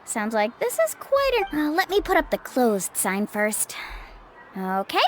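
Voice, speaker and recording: An actress playing an upbeat, earnest young island guide in a video game. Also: female voice, a little high, wildly swooping pitch, a little slow, a little hiss or hum. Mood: chatty, friendly. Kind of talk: English video game voice acting.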